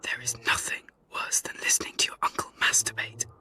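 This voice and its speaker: A man's voice: a little fast, sharp tone, whispered. An actor playing an awkward, frank teenage boy in a radio play, with a blunt, confiding manner. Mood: deadpan, confiding. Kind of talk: radio play monologue and dialogue.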